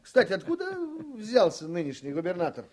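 An actor playing a gruff, outspoken newspaper editor in his sixties, wry and emphatic, in a radio play. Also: high voice, huge pitch swings, brisk, a little mellow, full character voice. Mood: scornful, wry. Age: 60s. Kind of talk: Soviet-style radio play acting.

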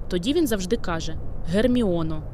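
A woman narrating first-person fan fiction as a dazed, gently curious amnesiac. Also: lowish voice, expressive, moderate pace, a little hiss or hum, natural voice. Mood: curious, tender. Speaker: audiobook narrator.

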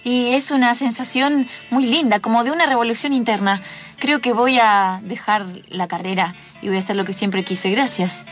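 Woman acting curious friend, high voice. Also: normal speed, faint music behind, lightly acted. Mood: reflective. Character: curious young woman accountant, questioning and openly thoughtful. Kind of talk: improvised radio drama.

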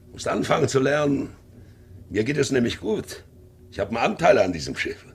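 A man's voice: mid-range voice, sing-song, brisk, gravelly, slightly rough, full character voice. An actor playing a proud, blustering, middle-aged sea captain and domineering father in a 1950s radio drama. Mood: urging. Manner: blustering, mocking, commanding.

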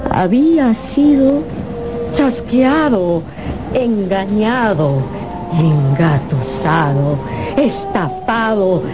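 A woman narrating a radio drama as a scripted story, with theatrical, deliberate phrasing. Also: mid-range voice. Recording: music behind.

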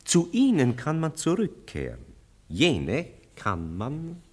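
An actor in a classic German radio play, playing a worldly, cynical friend with a deep voice and a sardonic, reasoning manner. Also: male voice, huge pitch swings, slow pace, husky, heavily acted. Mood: sly, persuasive. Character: worldly, cynical male friend Max, persuasive.

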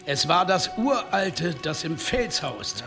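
A man acting an emphatic village headman in a German radio drama, firm and urging calm with authority. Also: fairly high pitch, slow pace, over music, full character voice. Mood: grave, urgent. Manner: emphatic, declamatory.

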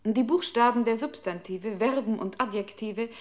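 An actress playing a computer's impersonal control voice in a radio play, announcing commands and technical terms with formal precision. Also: female voice, on the high side, normal speed, a little mellow, lightly acted. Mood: clinical, impersonal.

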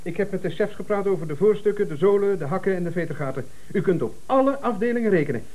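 A flustered, deferential office man in a seventies radio comedy. His high voice leaps up and down as he stammers and blusters, desperate to please. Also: expressive, fast talker, a little mellow, rough recording. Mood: eager. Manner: stammering, deferential, over-eager. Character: flustered, eager-to-please male office subordinate with timid bluster. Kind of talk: 1970s Dutch radio comedy acting.

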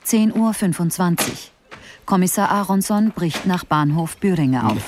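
An actress playing a businesslike reader of police log headings in a radio play. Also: female voice, fairly low pitch, lively intonation, measured pace. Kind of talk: scripted radio play reading. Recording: noisy background with machine-like clatter.

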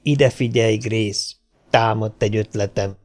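A man's voice: fairly low pitch, fairly even intonation, soft background music, close to an everyday voice. A man voicing a self-satisfied father who explains and reasons confidently in a storybook. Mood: smug, persuasive.

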